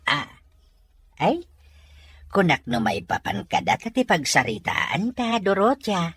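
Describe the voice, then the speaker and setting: An actor playing a teasing, slow-witted older father in a radio drama, with a husky, drawling, exclaiming voice and a Filipino accent. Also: sits high, sing-song, fairly bright tone, dry recording, heavily acted. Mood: surprised, teasing.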